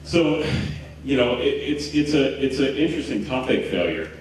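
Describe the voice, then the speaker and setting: A man's voice: medium pitch, expressive, moderate pace, a little hiss or hum. A man in his forties from Houston giving a chatty, joking conference talk with lots of fillers and restarts, into a handheld mic in a festival tent. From Houston, Texas. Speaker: conference speaker. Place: large tent at an outdoor festival. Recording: roomy tent captured from a distance.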